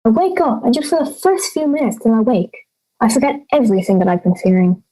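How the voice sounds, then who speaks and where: A young woman acting a lonely, fearful girl who voices brooding inner thoughts in halting phrases in an audio drama. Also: on the high side, very animated, warm tone, poor audio. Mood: lonely, anxious.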